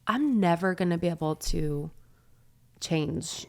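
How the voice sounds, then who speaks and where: A young woman with a stuffy nose from a cold, co-hosting a podcast in casual, earnest chat that trails off softly. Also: on the low side, slow pace, vocal fry. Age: young adult. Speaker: podcast co-host. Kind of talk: casual chat with her husband.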